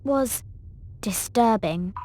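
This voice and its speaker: A woman's voice: fairly high pitch, animated, very slow, a little mellow, slight background noise. An actress playing a bookish, bossy young schoolgirl witch in a video game, earnest and precise. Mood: concerned. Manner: earnest, precise, know-it-all. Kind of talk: video game cutscene acting.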